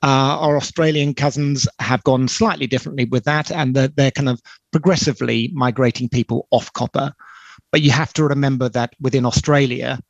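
Middle-aged British man, gravelly fry, dull video-call line, explanatory and halting. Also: mid-range voice, no echo. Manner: measured, explanatory, frequent ums and restarts. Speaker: telecom company spokesperson. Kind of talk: explanatory interview answers. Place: small indoor room on a video call. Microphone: headset.

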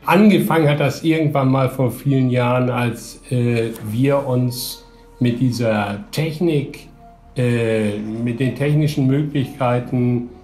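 A middle-aged man giving an interview answer, halting and hesitant with long pauses. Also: mid-range voice, darkish tone, faint music behind. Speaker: 3D audio producer. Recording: echoey room sound.

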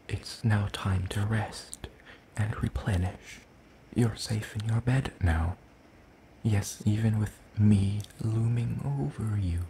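Guy doing a gentle demon creature for asmr, soft n gravelly, slow hesitant formal talk, bit of ambient noise. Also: lowish voice, low-quality recording. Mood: soothing, reassuring. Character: gentle, curious, one-eyed soul-leeching demon creature. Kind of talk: ASMR roleplay, soft speaking.

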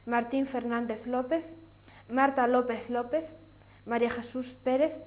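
A woman's voice: on the high side, steady tone, measured pace, a little mellow. A young woman presenting a local TV contest, formally reciting scripted announcements and lists in a small studio. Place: small indoor studio with curtains.

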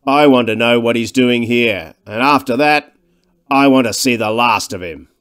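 A man's voice: medium pitch, moderate pace, fairly bright tone, clean tone, voice pushed up, close to an everyday voice. A man voicing a contemptuous, domineering scientist in an audiobook, blunt and scornful. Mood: angry disdain. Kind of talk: audiobook character dialogue.

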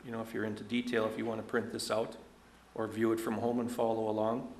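A man's voice: on the low side, echoey room, a little hiss or hum. A man giving a plain, step-by-step walkthrough of an online agenda at a public council meeting, with casual asides as he goes.

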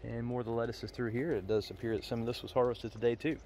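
A man's voice: mid-range voice, level tone, fast talker, mellow. A man giving an informal, folksy farm tour as a farmer, speaking in a matter-of-fact way into a handheld phone. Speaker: farmer and vlogger. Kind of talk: casual farm tour vlog. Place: outdoors on a farm, windy. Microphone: handheld phone, glove over microphone against wind. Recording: windy outdoor handheld phone recording.

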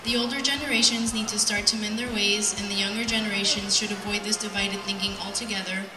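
A young adult woman giving an earnest, measured prepared speech at a podium in an event hall, reading from notes. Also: medium pitch, steady tone, background noise. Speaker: speaker at a community event. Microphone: handheld mic on PA, picked up by distant camera. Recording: roomy, distant sound with music.

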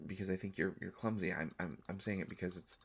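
Deep-voiced, gentle vampire doctor, acted by a man. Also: fairly even intonation, breathy edge. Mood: careful, tender. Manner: halting, teasing, soft-hearted speech. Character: gentle, mischievous male vampire doctor, centuries old, affectionate. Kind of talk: intimate romantic audio roleplay.

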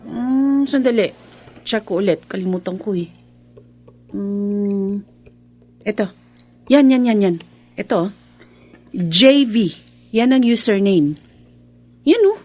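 A woman's voice: mid-range voice, slow, pauses often. An actress with a Filipino accent playing an affectionate, attentive young girlfriend in a radio drama. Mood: concerned, helpful. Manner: expressive, doting.

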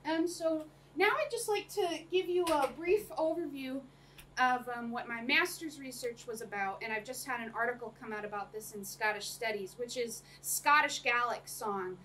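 A woman's voice: on the high side, moderate pace, a bit bright. A young woman giving an academic talk to a small audience, chatty, with many ums and frequent laughs. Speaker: lecturer. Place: small room with projector screen. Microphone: camera across the room. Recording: distant room mic, faint noise.

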